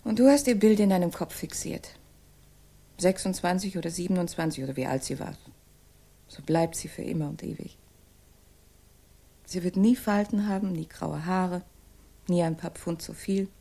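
An actress playing a frank, probing young woman in a 1960s German radio crime drama, speaking deliberately. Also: fairly low pitch, vocal fry, warm tone, husky.

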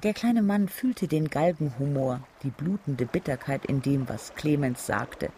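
Gravelly, higher-pitched male narrator. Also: a little slow, mellow, a little hiss or hum. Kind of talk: literary radio drama narration.

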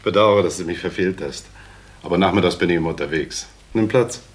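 An actor playing a staid, courteous, sceptical young student lodger in a German radio play, measured and slightly bemused. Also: male voice, low voice, natural voice.

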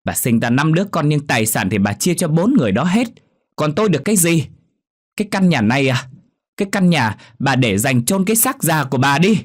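A man's voice: big pitch swings, fairly quick. A man voicing a cruel, abusive middle-aged daughter who screeches at her old mother. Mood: screaming. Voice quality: shrill, screeching.

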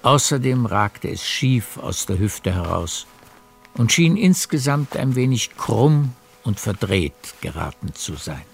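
Male narrator for literary audio drama: low register, gravelly, measured, over prominent music. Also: very animated. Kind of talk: scripted literary narration in a radio play.

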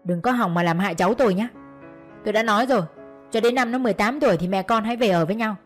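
A woman's voice: mid-range voice, very fast, close to an everyday voice. A woman voicing a harsh, domineering older mother-in-law who scolds and commands, in an audio story with music behind. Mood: threatening.

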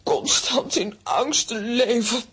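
Give me a despairing, overdramatic aunt for a children's radio play, high-voiced, slow and halting in her lament. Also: fairly bright tone, husky, exaggerated voice. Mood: anguished. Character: dramatic, despairing aunt sick with fear of world events. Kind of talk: children's audio drama acting.

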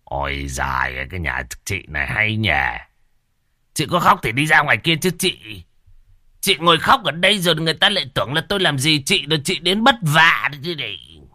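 A man's voice: on the high side, very animated, fairly quick, sharp tone, no room sound, studio quality, voice pushed up, heavily acted. A man voicing a grumpy, timid old village father who stammers and mutters crudely. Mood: irritated.

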